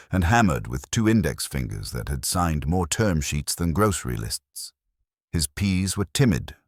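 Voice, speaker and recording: Guy reading a detective story, super deep voice, wry delivery, pitch moves around a lot, no room sound. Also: no room sound, studio quality. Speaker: audiobook narrator. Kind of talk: scripted detective story narration.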